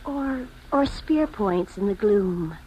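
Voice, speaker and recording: A woman's voice: medium pitch, animated, slow pace, mellow, low-quality recording. An actress playing a dreamy, yearning young woman in a romantic 1950s radio drama. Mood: dreamy, tender. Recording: faint noise, vintage radio broadcast.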